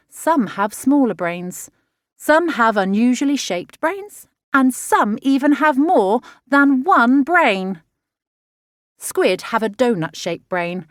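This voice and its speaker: Woman, children's audiobook narrator, very lively intonation, unhurried teaching delivery, dry close sound. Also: a little high, no echo. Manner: clear, measured, expressive teaching delivery for children. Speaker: author narrating own audiobook.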